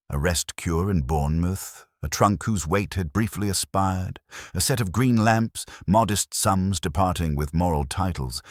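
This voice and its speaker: Very deep, husky male narrator. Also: no echo. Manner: dry, measured, literary. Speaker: narrator of a detective story. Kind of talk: scripted audiobook narration.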